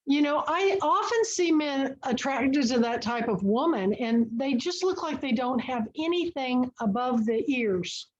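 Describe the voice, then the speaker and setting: Woman host chatting away about books, higher voice, kinda muffled call audio. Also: expressive, measured pace, hardly any pauses. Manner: chatty, enthusiastic storyteller. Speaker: book club host. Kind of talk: casual book and film chat. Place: online video call.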